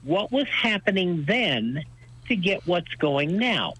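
A man's voice: high voice, sing-song, slow, fairly bright tone, husky, low-quality recording. An older American man telling stories in a radio interview, with an emphatic, folksy way of putting things. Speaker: radio show host being interviewed as guest. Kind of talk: conversational radio interview, storytelling.

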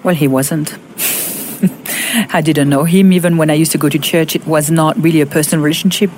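A woman in her fifties from Monaco with a French accent, telling her personal story in an interview, halting with fillers and restarts. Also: deep voice, fairly even intonation, a little mellow, a little hiss or hum. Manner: halting, many fillers and restarts, laughs often. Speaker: guest.

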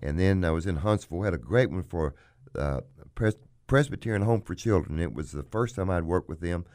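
A man hosting a radio show about auctions, a bit hoarse today, talking in a folksy, salesy way. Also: low-pitched, animated, brisk, a little mellow, no room sound, studio quality. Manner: folksy, plugs his business. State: hoarse, frog in throat. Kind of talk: casual radio chat.

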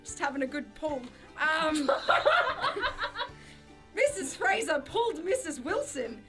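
High-pitched, lively, giggly young-sounding woman. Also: slow pace, loud music behind the voice. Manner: giggly, self-mocking. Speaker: podcast co-host. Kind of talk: casual chat with a playful read-aloud of own childhood story.

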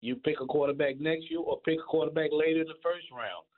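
A man's voice: on the high side, steady tone, quick pace. A man calling in to sports radio, giving casual fan opinions over the phone. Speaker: radio call-in guest. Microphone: phone call. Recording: thin, narrow-band phone line.

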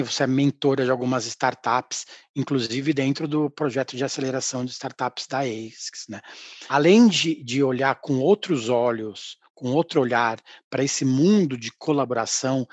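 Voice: mid-range voice, no echo. Interview host, talkative, leading questions, dry close mic. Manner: chatty, leading questions ending in tags. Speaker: podcast host.

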